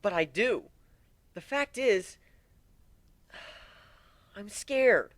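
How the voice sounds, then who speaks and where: A man's voice: high-pitched, animated, drawn-out words, a bit bright, pitched above the performer's own voice, exaggerated voice. A man voicing a wounded, vengeful but fragile male griffin warrior in a theatrical dramatic story reading. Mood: scared, confessing. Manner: intense, theatrical, swinging into brooding.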